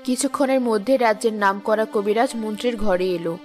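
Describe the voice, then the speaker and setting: A woman reading scripted narration for an animated fairy tale. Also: mid-range voice, fast, soft background music.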